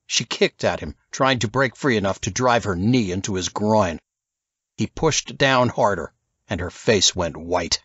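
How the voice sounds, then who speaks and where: A male audiobook narrator reading fiction from a script. His delivery is animated with a medium pitch, and the recording is very dry and a little dull. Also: no room sound.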